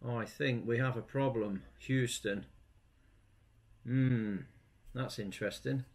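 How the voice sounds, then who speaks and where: A man's voice: medium pitch, steady tone, slow pace, darkish tone. Guy in his forties, casual reviewer who narrates every step, camera mic, noticeable background noise in a garage. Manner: chatty, talks himself through each step. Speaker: product reviewer. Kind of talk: casual hands-on product review. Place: home garage workshop, indoors. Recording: noisy garage recording.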